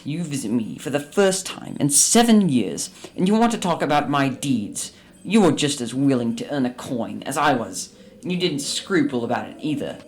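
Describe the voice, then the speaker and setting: An actor playing a grumpy, suspicious old miser in a radio drama, with a blustering, theatrical delivery. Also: male voice, mid-range voice, normal speed. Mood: bewildered. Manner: excitable, indignant, blustering.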